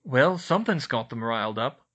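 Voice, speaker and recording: A man's voice: mid-range voice, expressive, moderate pace, fairly bright tone. A man voicing a blunt, cheeky schoolboy wizard.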